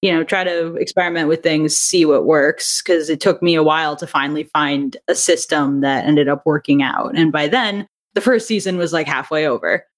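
A woman's voice: sits low, moderate pace, few pauses. Woman chatting as an interview guest. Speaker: podcast guest, audio drama writer and director. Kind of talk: casual interview chat.

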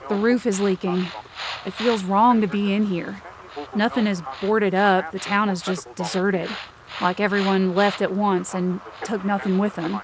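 A woman's voice: mid-range voice, flat delivery, a little slow, crowd noise, low-quality recording. Woman narrating a tense first-person story. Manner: tense, searching inner monologue. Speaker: narrator and lead performer.

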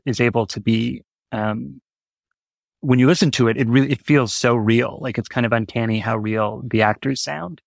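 Gravelly, enthusiastic male interview guest. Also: medium pitch, dry recording. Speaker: guest, audio drama writer. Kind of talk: casual interview conversation.

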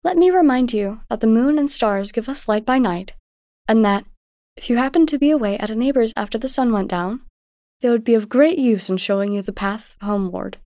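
Storybook mother, played by a woman: gentle and devout, measured teaching manner, raised pitch, dry recording. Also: dry recording, natural voice. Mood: patient, instructive. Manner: tender, measured, instructive.

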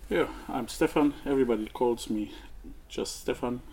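An easygoing man chats as a podcast guest, speaking at a middle pitch with some hesitation. Faint noise sits behind him. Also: moderate pace, darkish tone. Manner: easygoing, laughs often, hesitant. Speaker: conference organizer, guest. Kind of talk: casual interview.

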